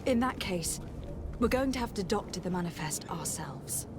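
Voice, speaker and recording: A woman's voice: medium pitch, expressive, moderate pace, close to an everyday voice. An actress playing a polite, composed young noblewoman in a fantasy game, courteous and formal as she speaks. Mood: courteous, curious. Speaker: voice actor in a video game. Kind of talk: scripted fantasy game dialogue. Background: music.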